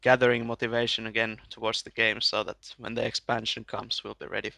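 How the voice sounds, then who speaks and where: A Finnish-speaking man answering interview questions in a calm, matter-of-fact way. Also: lowish voice, a little fast, gravelly, no echo. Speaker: guest, gamer.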